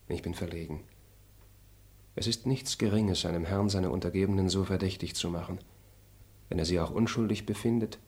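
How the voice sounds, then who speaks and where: A proper, low-voiced gentleman traveller, measured and formal, classic radio play. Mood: worried. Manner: measured, formal, grows indignant. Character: noble traveller, reserved and proper. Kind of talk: stage radio play acting.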